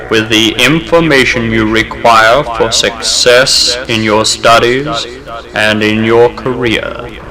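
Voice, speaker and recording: A man speaking as a hypnotist, counting down in a slow, soothing, repetitive way and then giving firm suggestions. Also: mid-range voice, poor audio. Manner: commanding suggestions. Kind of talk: hypnosis induction from an old record. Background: music playing under the voice.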